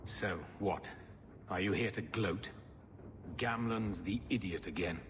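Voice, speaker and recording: A man's voice: low voice, slow, big-room sound, over music. An actor playing a shifty, bitter middle-aged uncle down on his luck, grumbling and self-pitying, in a video game drama.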